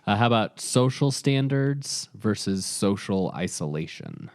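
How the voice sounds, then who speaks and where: A man's voice: lowish voice, lively intonation, unhurried, dry recording, clean studio sound. A man co-hosting a podcast in a casual debate, with a teasing, playfully competitive manner. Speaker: podcast co-host.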